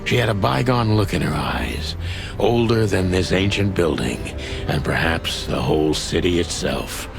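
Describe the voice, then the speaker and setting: An actor playing a weary, cynical aging rooster detective in a noir game, gravelly and deadpan. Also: male voice, deep voice, unhurried, heavily acted. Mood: wry, brooding. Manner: world-weary, deadpan, hardboiled. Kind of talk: noir first-person narration. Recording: studio voice under loud music.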